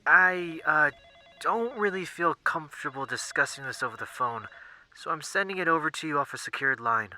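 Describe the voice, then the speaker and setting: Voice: medium pitch, lively intonation, moderate pace, sharp tone, slight background noise. A performer playing a nervous, polite lab technician on a phone call in an audio drama, hesitant and apologetic. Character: nervous, eager, deferential young lab technician.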